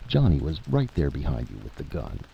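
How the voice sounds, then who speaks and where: A man voicing a steady, hopeful adventurer who reasons persuasively in a story reading. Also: rumbling low, brisk, vocal fry, breathy edge, highs cut off, full character voice. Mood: firm.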